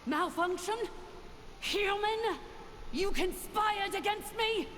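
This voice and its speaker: A woman's voice: very high voice, slow, fairly bright tone, heavily acted. A woman playing a haughty, vengeful ancient machine villain in a video game, with a theatrical, scornful delivery. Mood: furious. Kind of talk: scripted video game voice acting. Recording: long reverb, faint background noise.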